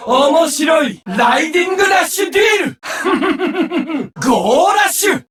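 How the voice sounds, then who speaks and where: A man's voice: extremely high pitch, lively intonation, moderate pace, fairly bright tone, shouting. A man playing a menacing, vengeful young duelist villain in an anime card game, with a theatrical, taunting delivery. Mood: exultant. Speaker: voice actor. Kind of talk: Japanese anime game character lines.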